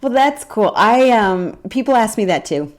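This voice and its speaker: An American woman hosting a casual travel podcast, enthusiastic and quick to laugh and agree. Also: fairly high pitch, lively intonation. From United States. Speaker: podcast host.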